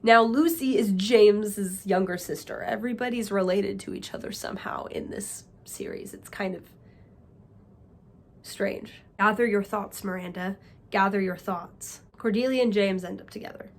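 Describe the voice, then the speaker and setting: A young woman chatting excitedly to camera as a book vlogger, sounding a little nasal from a cold. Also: medium pitch, normal speed. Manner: excited, chatty, talks to viewers as friends. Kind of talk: casual chat to camera.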